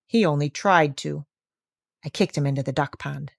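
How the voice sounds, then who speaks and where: A woman's voice: sits low, normal speed, gravelly, no echo, clean studio sound, voice pushed down. A woman voicing a grumbling, plain-spoken old male plow horse in a storybook reading, with an indignant, matter-of-fact way of complaining.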